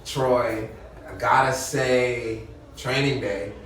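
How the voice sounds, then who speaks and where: A man's voice: medium pitch, steady tone, slow, fairly bright tone, a little hiss or hum. An American man chatting casually as an interview guest, laid-back and slangy in his delivery. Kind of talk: casual chat. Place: echoey room at a party. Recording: very echoey room, distant mic, crowd chatter.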